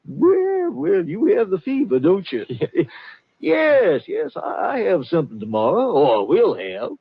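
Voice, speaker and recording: A man's voice: sits high, wildly swooping pitch, takes it slowly, husky, no room sound, exaggerated voice. An actor playing a genial, blustering older con-man colonel in a 1950s radio drama, with courtly, hesitant, folksy patter. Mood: smug, genial. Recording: muffled old 1950s radio recording.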